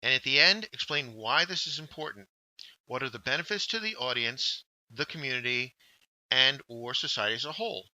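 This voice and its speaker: A man narrating a scripted instructional workshop, explaining step by step with deliberate emphasis on key words. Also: medium pitch, animated, pushed and bright, no room sound, low-quality recording. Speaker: workshop instructor, journal editor.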